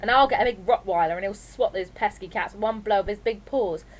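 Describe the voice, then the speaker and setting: A woman's voice: mid-range voice, a little fast, slight background noise, lightly acted. Woman acting a blunt, tough teenage girl. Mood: protective. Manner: blunt, challenging. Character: blunt, tough, clever teenage sister, defiant. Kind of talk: audiobook character voice.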